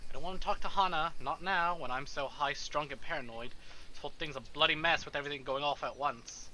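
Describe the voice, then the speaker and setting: Very bright, theatrical male narrator. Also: on the high side, fast, poor audio. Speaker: streamer reading a visual novel aloud. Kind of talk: dramatic narration of a character's inner monologue. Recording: faint background noise.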